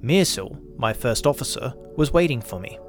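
A man's voice: mid-range voice, lively intonation, normal speed, soft background music. A man hosting and narrating scripted science fiction audio stories in the first person. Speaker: host and narrator.

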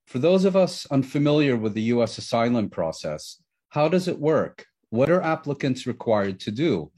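A man hosting an interview program, reading a formal introduction and asking measured questions. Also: medium pitch, sing-song, darkish tone. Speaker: interview host.

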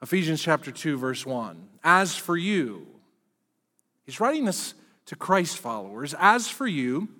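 A man's voice: fairly high pitch, sing-song, a little slow, pushed and bright, big-room sound. A middle-aged man preaching a sermon as pastor, candid and self-deprecating in a conversational way.

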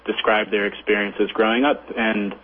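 A man with a lowish voice talks as an interview guest. He answers conversationally. He comes through a narrow phone connection with faint noise. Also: flat delivery, normal speed. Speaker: film director, interview guest. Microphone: phone call.

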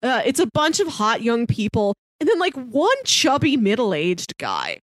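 A woman's voice: a little high, wildly swooping pitch, a little slow, no room sound, clean studio sound. A woman podcast co-host in a casual film discussion, chatty and musing aloud. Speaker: podcast co-host reviewing films.

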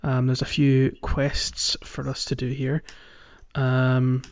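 Casual male games podcaster, medium pitch, halting conversational phrasing, slightly muffled recording, music bed. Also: monotone. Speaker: podcast host. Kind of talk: casual podcast chat about a video game.

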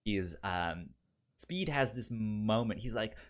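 A man co-hosting a casual film discussion, halting as he goes, with a stutter that repeats sounds and words. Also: lowish voice, expressive. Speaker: podcast co-host.

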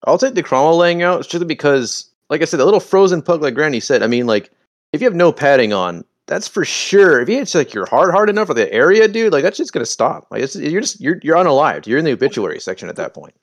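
Podcast co-host, man, mid-pitched and animated, teasing and slangy, dry close mic. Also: fast, no echo. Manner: playful, teasing, laughs often, slangy. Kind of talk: casual chat.

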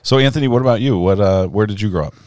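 Very deep-voiced male interviewer. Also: rapid-fire, a little mellow, no room sound, clean studio sound. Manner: affirming, many short backchannels. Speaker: interviewer, host. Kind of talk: casual conversational interview.

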